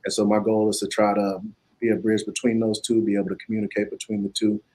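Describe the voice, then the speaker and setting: Man, judicial candidate, flat steady delivery, husky low voice. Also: quick pace, poor audio. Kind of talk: candidate statement. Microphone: video call.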